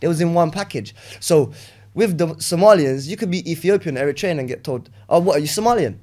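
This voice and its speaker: A young man from West London with a London accent, a rapper chatting as a podcast guest, relaxed and rambling, often asking "you know what I'm saying". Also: fairly high pitch, brisk. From West London, England. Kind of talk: casual podcast chat.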